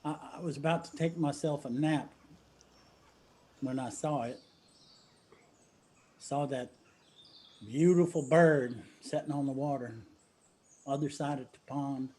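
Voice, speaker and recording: An actor playing a haunted, grieving coal miner brother in a stage play, plain-spoken and halting, with an Appalachian accent. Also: male voice, mid-range voice, darkish tone, husky. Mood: somber, remembering.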